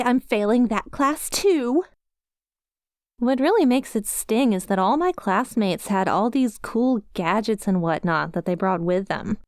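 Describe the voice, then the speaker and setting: A woman plays a hapless young yandere schoolgirl in an ASMR roleplay, ditzy and self-deprecating. Her voice is smooth and clear, recorded close and dry. She confides in the listener in a chatty, flustered, expressive way. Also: fairly high pitch, no echo, natural voice. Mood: defensive, dejected.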